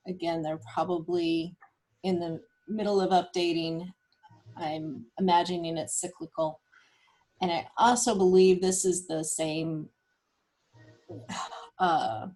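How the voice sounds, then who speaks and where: A woman, explaining data in a casual, measured way on a group call. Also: lowish voice, darkish tone. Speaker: nurse presenting health data.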